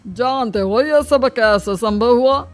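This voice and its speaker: A woman voicing a wise male holy man who gives gentle, measured advice in an animated moral story. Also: fairly high pitch, soft background music. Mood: calm, explaining. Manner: slow, measured, counselling.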